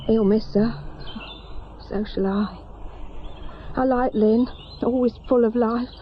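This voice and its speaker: A woman's voice: a little high, steady tone, slow pace, dull audio, a little hiss or hum. An actress playing a chatty, sympathetic British woman shopkeeper, speaking in a confiding, gossipy way. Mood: sympathetic, subdued. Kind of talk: naturalistic radio drama acting.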